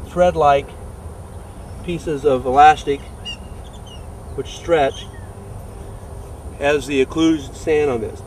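A man in his fifties, a sports turf manager, giving an informal, halting technical explanation to camera. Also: on the high side, a little mellow. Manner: halting, hesitant delivery. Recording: distant, roomy sound with clear background noise.

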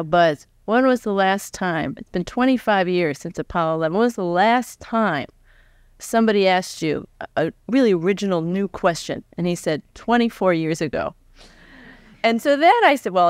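Clear-voiced American woman interviewer. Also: medium pitch, no echo, studio quality. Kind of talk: conversational live interviewing. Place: on stage before a live audience. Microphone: large microphone on a stand, close.

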